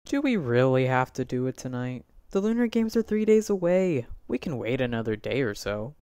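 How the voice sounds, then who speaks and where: A woman playing a smug yet hesitant accomplice to a villain in an animated roleplay drama. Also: very deep voice, moderate pace, clean tone, no echo.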